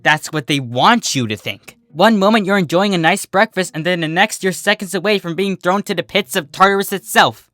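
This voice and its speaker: Narrator putting on a forceful, scolding female earth pony. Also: mid-range voice, fairly quick, few pauses, no room sound, soft background music, voice pushed up, close to an everyday voice. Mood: ominous, fierce.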